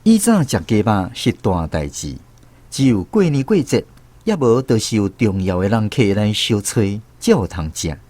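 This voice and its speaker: A man's voice: lowish voice, very animated, normal speed, darkish tone, dry recording. A man with a Taiwanese accent narrating a scripted first-person story as a radio drama storyteller.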